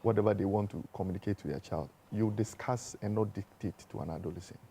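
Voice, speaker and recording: A man's voice: fairly low pitch, fast talker, dark tone, slight background noise. A man, a doctor guest explaining things plainly and stammering on restarts. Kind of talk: expert explanation on a talk show.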